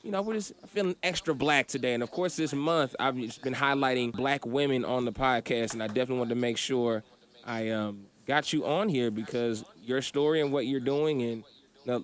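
A man hosting a podcast interview, talking in a relaxed, chatty way with plenty of fillers. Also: medium pitch. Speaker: podcast host. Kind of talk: casual interview.

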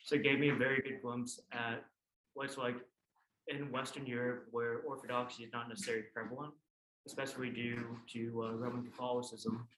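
A man's voice: mid-range voice, little pitch movement, a little fast, fairly bright tone. An American man in his twenties, a student guest telling his personal story in a reflective way. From Oklahoma, United States. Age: 20s. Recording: roomy echo, audible background noise.

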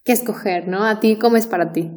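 A woman's voice: mid-range voice, a little fast, darkish tone. Conversational Mexican woman teacher. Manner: conversational, explains with asides and tag questions. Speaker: Spanish teacher, podcast co-host. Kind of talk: casual podcast chat.

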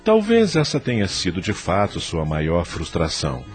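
Male Brazilian narrator for radio drama: low, solemn, highly animated intonation, music beneath. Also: normal speed.

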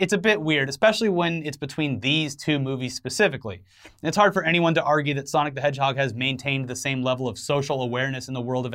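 A man's voice: on the high side, a bit bright. A man co-hosting an entertainment news show, mixing scripted commentary with casual banter and wry, sarcastic asides.

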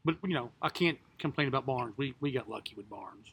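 A man talking sports casually with friends, excitable and chatty. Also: medium pitch, brisk, a little hiss or hum. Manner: excitable, loud impressions.

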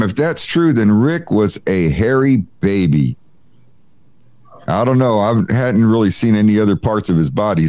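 A man's voice: medium pitch, a little mellow, no echo. A man hosting a live stream, reading viewer comments aloud in a jokey, chatty way with lots of fillers. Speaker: host.

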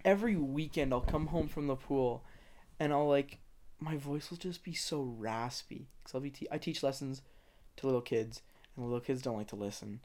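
A man's voice: mid-range voice, a little mellow. A teenage young man, a swim instructor, chatting casually and filling every sentence with "like.". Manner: talks fast with constant filler "like". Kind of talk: casual chat.

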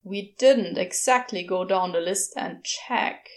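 A woman's voice: mid-range voice, slow, voice pushed down, natural voice. A woman voicing a brusque, moody male demon in a storybook reading, terse and grumbling. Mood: exasperated, sardonic.

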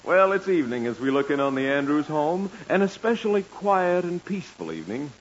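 On a muffled vintage radio recording, a hearty comedy announcer. His delivery is animated and fairly high, setting scenes with mock drama in 1940s narration style. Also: fairly quick, noisy background.

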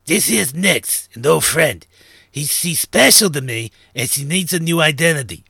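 A man's voice: fairly high pitch, lively intonation, normal speed, vocal fry, pushed and bright, husky, no echo, studio quality, voice pushed up, full character voice. A man voicing a short, thin, sly underworld fence and information broker in a narrated story, with chummy, streetwise slang. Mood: serious, commanding. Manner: streetwise gangster slang, chummy and self-assured. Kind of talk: acted character dialogue in a narrated story.

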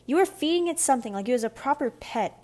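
A woman's voice: high-pitched, animated, quick pace, darkish tone, voice pushed up. A woman voicing an obnoxious, tattling schoolboy in a children's audiobook, blurting and insistent. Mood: accusing. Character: blurting, tattling, obnoxious eleven-year-old boy. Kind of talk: children's audiobook character dialogue.

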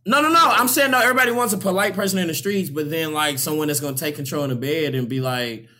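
A man of about thirty leads a loose group conversation, with a bright, fairly high voice. He jokes and laughs a lot and talks in an animated way. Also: quick pace. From Louisville, Kentucky. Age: 30s. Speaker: host. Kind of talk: casual group chat.

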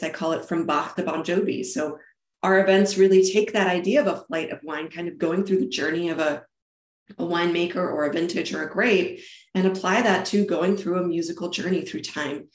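A woman from Germany answers interview questions about her work with eager, explanatory energy and many small restarts. Her voice sits on the low side. The room around her rings with echo. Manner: enthusiastic, explanatory, with frequent restarts and fillers. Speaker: guest, event business founder.

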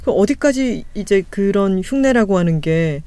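A woman hosting a talk podcast, thinking aloud and weighing her words with many small pauses. Her voice sits at an ordinary middle pitch. The recording is close and dry, with no room sound. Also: expressive, warm tone, clean tone, no room sound. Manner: thoughtful, explains carefully with many fillers. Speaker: podcast host. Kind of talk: casual chat.

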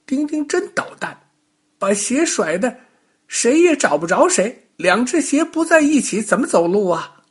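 A man's voice: extremely high pitch, big pitch swings, unhurried, husky, pitched above the performer's own voice, full character voice. A man voicing small talking toy blocks in a storybook, childlike and indignant, with a complaining, chattering manner. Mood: indignant complaint.

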